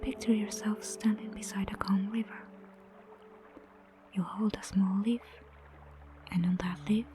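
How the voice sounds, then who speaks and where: Breathy near-whispering woman guiding meditation. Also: mid-range voice, steady tone. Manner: gentle, soothing, unhurried with long pauses. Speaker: meditation guide. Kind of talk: guided meditation narration. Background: prominent music.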